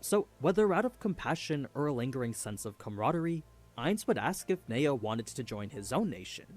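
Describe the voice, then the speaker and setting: A man's voice: mid-range voice, very animated, fairly quick, a little mellow, faint music behind. A man delivering a scripted story recap as a narrator.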